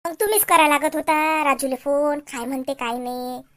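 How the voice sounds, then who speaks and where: A woman plays a sharp-tongued village wife from a comedy cartoon, very high in pitch. She nags, scolds and taunts in a Vidarbha Marathi accent, with a complaining edge to her delivery. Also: moderate pace, lightly acted. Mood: suspicious. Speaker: comic cartoon character.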